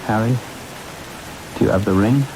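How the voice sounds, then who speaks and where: An actor playing a haunted, anguished bridegroom in an old-time radio drama, speaking in halting, breaking phrases. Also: male voice, lowish voice, noisy background, close to an everyday voice. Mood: strained, halting. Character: doomed bridegroom, anguished, haunted, pleading man.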